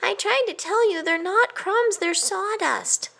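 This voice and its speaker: A woman voicing a guilty, timid little boy mouse in a children's storybook, squeaky and stammering with remorse. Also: a little slow, vocal fry, a bit bright, full character voice. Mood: ashamed. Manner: stammering, hesitant.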